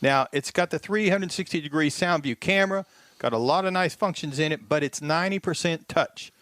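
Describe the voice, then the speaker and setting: A man's voice: on the high side, brisk, fairly bright tone, no room sound. A man casually reviewing a car on a radio show, listing features with fillers.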